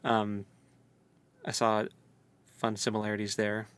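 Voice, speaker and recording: A man's voice: fairly low pitch, completely flat delivery, measured pace, a bit bright, smooth. A man co-hosting a casual book discussion, speculating in loose, colloquial language. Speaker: co-host of a book read-along podcast.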